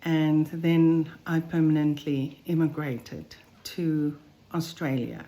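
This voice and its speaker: A woman with a South African accent, telling her own health story slowly and thoughtfully, with many pauses. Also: sits low, steady tone, mellow. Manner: slow, thoughtful, pausing between phrases. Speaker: vlogger sharing a personal health story. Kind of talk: personal first-person account to camera. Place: home on a farm, roosters crowing outside.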